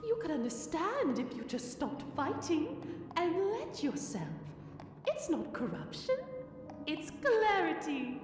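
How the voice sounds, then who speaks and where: A woman's voice: high voice, very animated, slow, full character voice. A woman voicing a corrupted pony villainess who coos with false tenderness in an audio drama. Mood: coaxing. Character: rot-corrupted pony villainess, sweetly menacing impostor of a friend. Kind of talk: scripted audio drama acting. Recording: echoey hall reverb with music.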